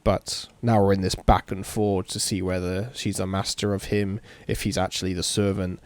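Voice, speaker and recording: A man with a fairly low voice hosts a visual novel playthrough. He sounds tired, with a sore throat, and talks casually to viewers. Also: flat delivery, normal speed, no room sound. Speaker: video game streamer. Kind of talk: reading a visual novel aloud, casual commentary.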